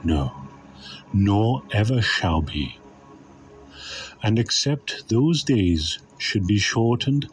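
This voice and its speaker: A man preaches in a low register over a music bed. His delivery is solemn and deliberate, leaning hard on key phrases as he moves through scripture like a dramatic narrator. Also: rough recording.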